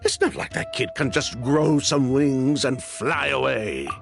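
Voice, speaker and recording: An actor playing an excitable, talkative young village man in a video game. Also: medium pitch, measured pace, gravelly, faint music behind. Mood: nervous, flustered.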